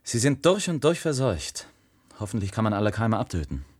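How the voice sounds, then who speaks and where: Low, grim expedition man. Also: sing-song, a little fast, slightly rough. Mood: grave. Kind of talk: German science-fiction radio play acting.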